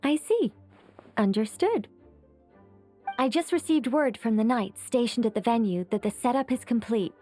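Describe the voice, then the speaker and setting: Composed, slightly high-voiced young organizer. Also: female voice, lively intonation, normal speed, pauses often, warm tone, faint music behind. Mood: assured. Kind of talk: anime game voice acting.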